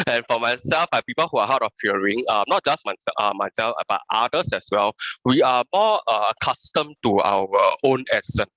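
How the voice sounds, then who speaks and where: A man's voice: lowish voice, bright, forceful tone, no room sound, rough recording. A man with a Singaporean accent, speaking casually as a panelist on a video call, chatty and full of tag words and restarts.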